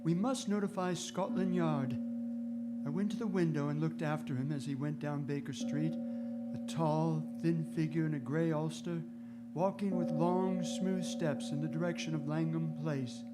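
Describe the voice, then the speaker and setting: An actor playing a detective's earnest, loyal companion narrating a radio drama in precise, measured phrases. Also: male voice, a little high, fairly even intonation, loud music behind the voice, natural voice. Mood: grave concern.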